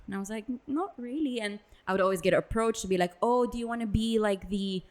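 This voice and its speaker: A woman's voice: medium pitch, quick pace, a little mellow. Chatty young woman co-hosting a podcast. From Dubai. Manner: chatty, tells stories with quoted voices. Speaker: podcast co-host. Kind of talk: casual podcast chat.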